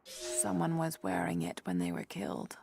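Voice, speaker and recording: A woman playing a thoughtful female adventurer in a video game, musing to herself in a hushed, breathy voice. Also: extremely low pitch, sing-song, normal speed, a little hiss or hum, full character voice. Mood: hushed, curious. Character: thoughtful female adventurer archaeologist examining ancient finds. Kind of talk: scripted video game character monologue.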